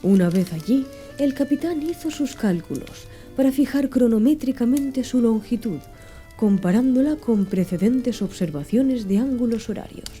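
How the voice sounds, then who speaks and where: Very mellow soft-spoken woman narrator. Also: a little high, animated. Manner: soft, slow, hushed reading. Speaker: audiobook narrator. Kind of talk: ASMR audiobook narration. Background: faint background music.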